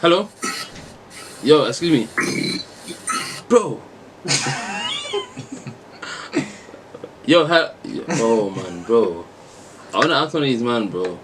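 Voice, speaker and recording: A man's voice: mid-range voice, wildly swooping pitch, takes it slowly, long pauses. A nineteen-year-old man chatting with strangers over video, slangy and stammering, repeating words playfully. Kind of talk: casual video chat banter. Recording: noisy video chat audio.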